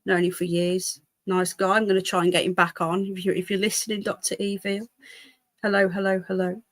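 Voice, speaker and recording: A woman's voice: medium pitch, little pitch movement, fairly quick, no echo. A British woman with a congested voice hosts a livestream in a casual, rambling way, chatting to viewers and easily drifting into asides. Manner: chatty, easily distracted, jumping between asides. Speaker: livestream host.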